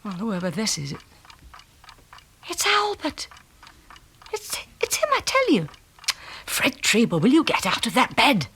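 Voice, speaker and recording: Husky, nagging British wife. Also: female voice, fairly high pitch, wildly swooping pitch, measured pace, pauses often, exaggerated voice. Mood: bossy, urgent. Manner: sharp-tongued, insistent, urgent. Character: nagging, nosy, bossy shrewish wife. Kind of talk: 1970s radio drama acting.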